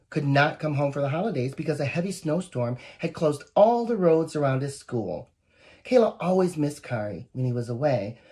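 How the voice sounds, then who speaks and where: Storybook reader, very animated intonation, expressive, talks directly to the kids between lines. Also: medium pitch. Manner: expressive, addresses young listeners directly. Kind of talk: children's picture book read-aloud with asides.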